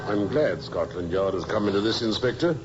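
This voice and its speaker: An actor playing a brisk, dutiful provincial police superintendent in an old-time radio drama. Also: male voice, fairly low pitch, animated, lightly acted. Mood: businesslike. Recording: muffled, noisy old radio recording.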